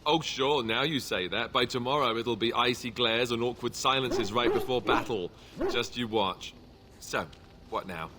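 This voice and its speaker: An actor playing a lovestruck young warrior in a video game, earnest and wryly self-mocking. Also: male voice, mid-range voice, moderate pace, noisy background, natural voice. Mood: wry, teasing. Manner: earnest, teasing, wryly joking. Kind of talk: video game dialogue acting.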